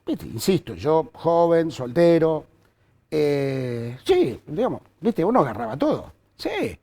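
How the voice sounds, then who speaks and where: An older Argentine man reminisces as an interview guest, his voice gravelly, mellow and set a little high. His pitch rises and falls with lively energy, and he breaks up his stories with fillers and restarts. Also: takes it slowly. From Córdoba, Argentina. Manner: animated storyteller with frequent fillers and restarts. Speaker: journalist guest. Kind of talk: anecdotal storytelling in conversation.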